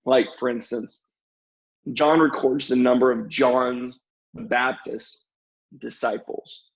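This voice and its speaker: A man talks as a guest in a relaxed intellectual discussion. His voice has a gravelly fry to it. He is agreeable and emphatic, and the audio is somewhat dull and lo-fi. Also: mid-range voice, slow. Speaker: podcast guest. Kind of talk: casual theological discussion.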